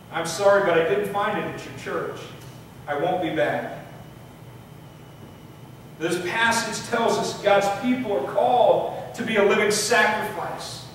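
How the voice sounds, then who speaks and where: High-voiced pastor in an echoey church. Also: male voice, distant mic, slight background noise. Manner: earnest, measured delivery with occasional slips and restarts. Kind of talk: sermon with scripture reading and prayer. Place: large church sanctuary, standing at a pulpit. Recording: echoey sanctuary audio picked up from afar.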